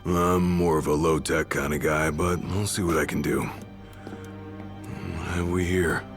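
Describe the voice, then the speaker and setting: An actor playing a practical, loyal bodyguard in a video game, speaking in a terse, blunt, casual way. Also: male voice, extremely low pitch, steady tone, slow pace, full character voice. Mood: focused. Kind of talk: video game cutscene acting. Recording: music over the voice.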